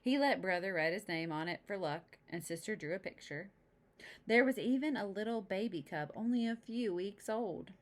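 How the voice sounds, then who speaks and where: A woman reading a picture book to young children, pausing to explain the pictures in simple, chatty asides. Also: mid-range voice, animated. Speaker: storybook reader.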